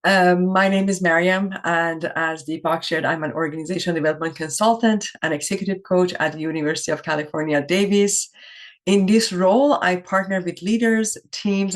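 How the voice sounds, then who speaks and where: Earnest woman from Iran, storytelling keynote. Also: on the low side. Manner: earnest, reflective, with pauses and self-corrections. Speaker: keynote speaker.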